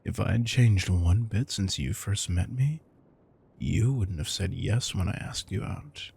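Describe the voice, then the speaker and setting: A man playing a retired supervillain turned caring, thrifty house husband in an intimate audio roleplay, smug, dry and affectionate, with a husky, gravelly voice. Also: deep voice, a little slow, a little mellow, full character voice.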